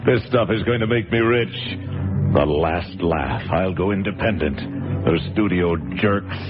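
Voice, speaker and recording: A muttering, scheming producer. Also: male voice, low voice, unhurried. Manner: muttering to himself, bitter then scheming. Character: scheming middle-aged male TV producer. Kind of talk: radio drama acting. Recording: tinny radio recording with loud music.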